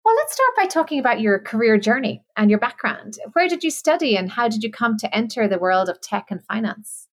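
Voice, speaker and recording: A woman hosting a podcast who reads a formal introduction and then asks polite, measured questions. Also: on the high side, big pitch swings. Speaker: podcast host. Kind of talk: scripted intro and interview questions.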